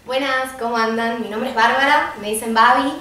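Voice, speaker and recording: A cheerful woman in her twenties with a Tucumán Argentine accent introduces herself. Her voice sits a little high and sounds distant in a roomy studio. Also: fairly even intonation, moderate pace, pushed and bright, slight background noise. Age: 20s. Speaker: actress at audition. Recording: echoey room from far off.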